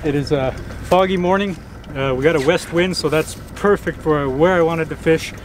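A man's voice: fairly high pitch, normal speed. Young man vlogging from a boat. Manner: relaxed, chatty, thinking aloud with fillers. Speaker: fishing vlogger. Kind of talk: casual outdoor vlog narration. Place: small open boat on a lake, outdoors. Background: outboard motor and water noise.

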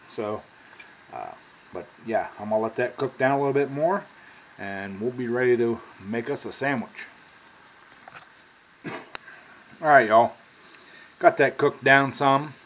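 An American man in his sixties with a folksy manner, casually talking through a cooking demonstration as a home cook. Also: mid-range voice. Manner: folksy, drawn-out asides with y'all. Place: home kitchen, indoors at the stove. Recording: clearly noisy home kitchen recording.

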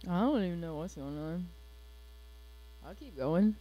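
A woman's voice: lowish voice, animated, a little slow, muffled recording. A woman co-hosting a gaming livestream, chatting casually about what happens on screen. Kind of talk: casual gameplay chat.

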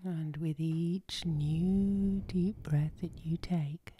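A woman giving guided sleep hypnosis in a soft, whispery ASMR style, gentle and soothing. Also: fairly low pitch, steady tone, drawn-out words, slight background noise. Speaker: hypnotherapist, channel host.

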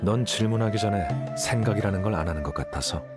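An actor playing a cool, aloof young scholar in a video game, deadpan and dismissive, with a slightly husky voice. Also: male voice, fairly low pitch, fast, loud music behind the voice, lightly acted. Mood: aloof, dry. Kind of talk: game character dialogue.

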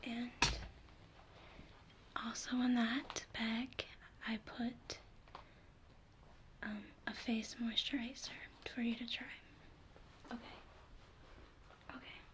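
Slow whispering young woman, ASMR roleplay. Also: on the high side, flat delivery. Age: young adult. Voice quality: whispered, breathy. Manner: soft, unhurried, attentive customer-service talk. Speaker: ASMR creator playing a makeup consultant. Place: small furnished living room at home. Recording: noticeable background hiss and room noise.

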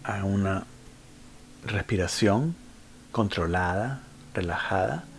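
A man leading a guided meditation, giving instructions in short phrases with frequent pauses. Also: low voice, a little hiss or hum. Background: background music.

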